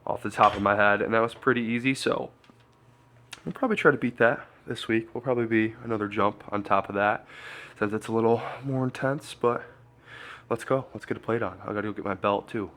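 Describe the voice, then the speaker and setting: A congested man, a strength coach, chatting casually through a training vlog and thinking out loud. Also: lowish voice, brisk. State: congested, stuffy nose.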